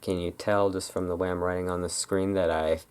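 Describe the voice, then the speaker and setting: An ASMR host chatting casually in a rambling, halting way, as in an informal roleplay. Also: low-pitched, flat delivery, darkish tone, smooth.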